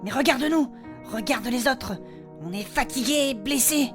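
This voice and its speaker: A woman's voice: high voice, measured pace. A woman playing a worried, tender adventurer, hesitant and earnest, in a fantasy audio drama. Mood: anxious. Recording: faint music underneath. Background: music.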